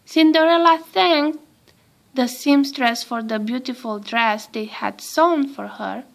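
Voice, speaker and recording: A woman reading a children's story aloud in an expressive, deliberate way for young listeners. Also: sits high. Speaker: storybook reader, a mother.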